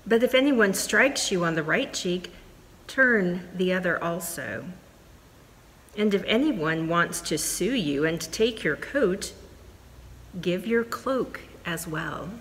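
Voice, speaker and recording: Woman pastor reading slowly in a sanctuary. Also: fairly low pitch, fairly bright tone. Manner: measured, deliberate liturgical reading. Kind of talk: prayer and scripture reading. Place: church sanctuary. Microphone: lapel mic. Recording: reverberant sanctuary, faint room noise.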